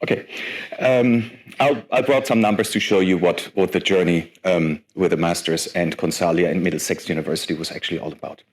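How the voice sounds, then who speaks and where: Brief: man, 40s, low voice, guest speaker with a chatty, self-deprecating conference-talk delivery. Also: fairly quick. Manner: lively, self-deprecating, chatty with frequent fillers.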